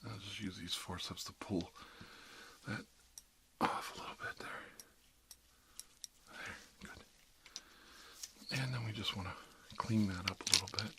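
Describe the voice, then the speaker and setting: A man playing a gentle, reassuring dentist in a soft-spoken ASMR roleplay, murmuring hesitantly and often slipping into a whisper. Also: low voice, steady tone, unhurried, long pauses. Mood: focused, reassuring. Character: gentle dentist treating a vampire patient.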